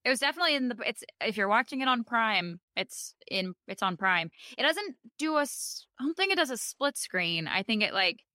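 A woman co-hosting a podcast in casual chat, thoughtful and measured, with plenty of "like" fillers. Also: a little high, very animated, sharp tone, dry recording, studio quality. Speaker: podcast co-host. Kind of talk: casual chat about a TV episode.

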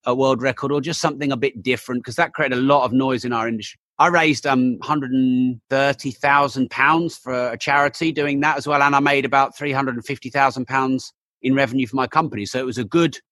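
Bright man, upbeat guest. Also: mid-range voice, fairly even intonation, brisk, hardly any pauses, dry recording. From England. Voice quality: voice still not fully recovered. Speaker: podcast guest, entrepreneur. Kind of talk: casual interview chat.

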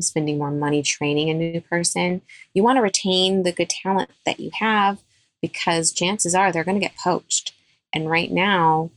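A woman dentist speaking as a podcast guest, with a somewhat low voice. She talks in an emphatic, advice-giving way. The sound is dry and close, with no room echo. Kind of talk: podcast interview.

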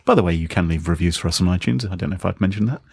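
A man's voice: low-pitched, very fast, gravelly, a little mellow, husky, dry recording, studio quality. A man hosting a casual podcast, joking, rambling and mocking himself. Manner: jokey, rambling, self-mocking. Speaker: podcast host.

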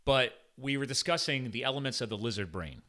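A man in his forties with a Philadelphia-area American accent, hosting a casual podcast chat in an informal, animated way. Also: mid-range voice, fairly quick. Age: 40s. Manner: animated, informal, peppered with "like" and "guys". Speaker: podcast host. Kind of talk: casual conversational podcast interview.

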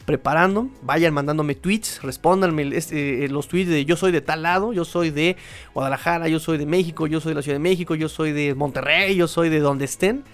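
A man's voice: fairly high pitch, moderate pace, clear, resonant tone, soft background music. A man with a Mexican accent hosting a solo sports podcast, chatting informally and colloquially as he gives casual commentary.

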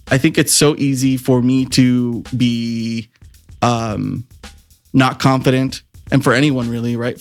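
Laughing, swearing male podcast co-host. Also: mid-range voice. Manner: laughs often, swears, earnest when serious. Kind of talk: casual chat.

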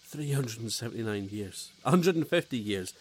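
A man acting a frightened male crew member woken from stasis, halting and disbelieving. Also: mid-range voice, animated, slightly rough. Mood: anxious. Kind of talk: audio drama acting.

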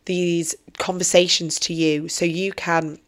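A woman in her forties speaks in a slightly low, hoarse voice. She is earnest and reflective as she hosts a solo podcast episode. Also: little pitch movement, a little slow. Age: 40s. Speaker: podcast host and wellbeing coach. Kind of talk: solo podcast trailer monologue.

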